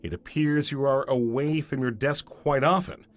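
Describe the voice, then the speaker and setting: A man acts as an officious auditor in an audio comedy. He speaks in a medium-pitched voice, deadpan and formal, halting stiffly as he goes. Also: natural voice. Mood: deadpan, probing. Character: formal, humourless, hesitant male company auditor.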